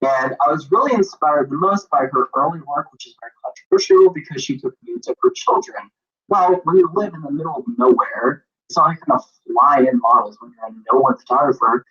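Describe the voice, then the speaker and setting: A young man from Maine, a photographer and author, rambling in an animated, self-deprecating way as an interview guest on a video call. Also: on the high side, a little fast. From Maine, USA. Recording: muffled lo-fi video call audio.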